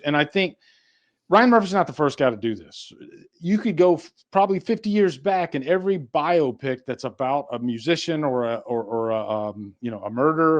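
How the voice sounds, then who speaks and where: A man co-hosting a podcast, discussing film and TV casually and repeating words as he works through his explanations. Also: fairly high pitch. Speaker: podcast co-host.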